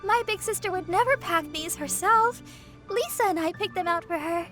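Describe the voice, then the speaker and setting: Very high, cheerful teenage girl. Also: moderate pace, bright, forceful tone. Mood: giggly. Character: sweet, cheerful, dreamy teenage girl, happy-go-lucky. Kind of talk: animated video game voice acting. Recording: music behind.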